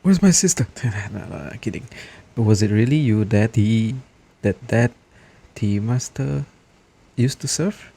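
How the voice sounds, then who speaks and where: A man's voice: lowish voice, expressive, a little slow, vocal fry, dark tone, a little hiss or hum. A man casually reacting aloud as he plays through a video game, in short informal remarks. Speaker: gamer reacting to his playthrough.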